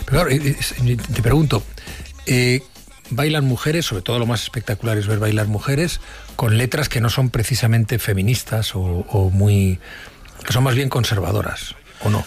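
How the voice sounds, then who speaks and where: A man hosting a casual conversational interview, often stammering and pausing as he searches for words. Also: medium pitch, faint music behind. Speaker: interview host.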